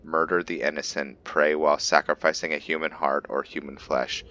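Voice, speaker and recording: Very deep-voiced gamer musing aloud. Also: male voice, level tone, normal speed, bright, forceful tone, muffled recording. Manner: thinking aloud, musing and self-correcting. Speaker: gamer narrating a roleplay playthrough. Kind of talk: casual let's-play commentary.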